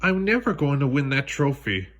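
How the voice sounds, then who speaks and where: A man's voice: mid-range voice, big pitch swings, normal speed, fairly bright tone, dull audio. A man voicing a determined, encouraging young princess who captains a team in a read-aloud storybook. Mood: discouraged.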